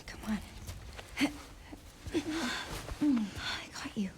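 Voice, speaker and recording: A woman's voice: a little high, animated, drawn-out words, noisy background. A woman playing an anxious, hesitant young woman protecting a child in a video game cutscene. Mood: nervous, protective. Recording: music under the voice.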